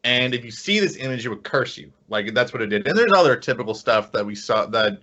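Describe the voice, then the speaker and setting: A man's voice: mid-range voice, expressive, brisk, sharp tone. A man hosting a podcast in casual chat, explaining things informally and circling back over his phrases. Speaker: podcast host. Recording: dull lo-fi podcast audio.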